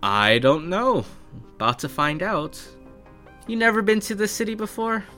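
Man acting old male dwarf, tabletop game character, raised pitch, folksy, cheerful, evasive, hesitant muttered asides, faint music behind. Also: big pitch swings, normal speed, pushed and bright, exaggerated voice. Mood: cheery.

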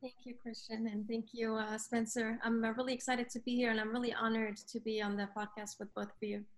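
A woman's voice: a little high, little pitch movement, a little fast. A woman in her thirties from Lebanon, a clinical coordinator and podcast guest, speaking earnestly with many fillers. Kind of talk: casual podcast interview.

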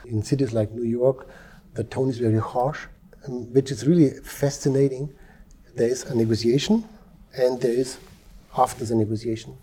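Male interview guest from Europe, former negotiator; very dark, husky voice, calm and measured delivery. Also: medium pitch. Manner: calm, measured, with frequent restarts. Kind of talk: interview conversation. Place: Dubai.

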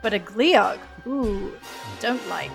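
A woman's voice: mid-range voice, lively intonation, takes it slowly, gravelly, soft background music. A woman chatting casually as a podcast guest about a video game, playful and self-deprecating, often laughing.

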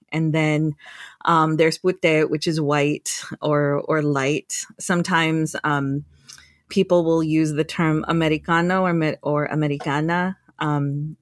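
A woman's voice: low voice, no room sound, studio quality. A woman researcher who grew up in the United States, speaking thoughtfully in a conversational interview and often restarting her phrases. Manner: thoughtful, with frequent restarts and fillers. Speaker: guest researcher.

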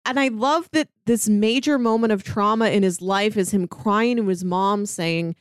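A woman talking casually as a podcast panelist, retelling and reviewing in an expressive storytelling way. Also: medium pitch, moderate pace, clear, resonant tone, no echo, clean studio sound.

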